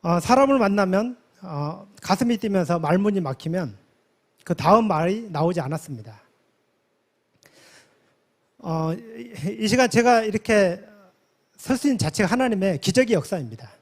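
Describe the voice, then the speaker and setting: High-pitched man giving a formal testimony. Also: animated, moderate pace, long pauses. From South Korea, from a village near Yeosu. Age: 40s. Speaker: conference member giving a testimony.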